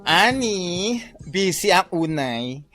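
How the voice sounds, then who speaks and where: A woman's voice: fairly low pitch, sing-song, takes it slowly, over music. A woman playing a busy office coworker who hurriedly persuades a colleague to run an errand, in a comedy radio drama.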